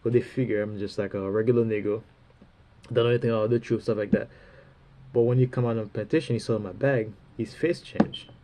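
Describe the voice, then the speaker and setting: Mellow-voiced man rambling with a stuffy nose. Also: on the low side, steady tone, slight background noise. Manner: halting, full of fillers, often ends phrases with "man". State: stuffy runny nose, coughing. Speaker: religious speaker. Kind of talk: rambling spiritual talk.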